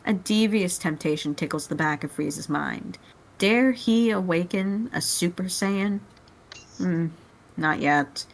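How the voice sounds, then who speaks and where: A woman's voice: lowish voice, a little slow, gravelly, poor audio. A woman reading scripted story narration aloud in a group dramatic reading.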